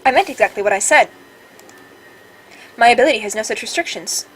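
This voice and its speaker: A woman's voice: fairly high pitch, lively intonation, a little fast, close to an everyday voice, low-quality recording. A woman voicing a polite, stubborn, literal-minded water spirit AI in a formal, precise way, recorded with some noise. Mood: formal, evasive. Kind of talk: reading visual novel dialogue aloud.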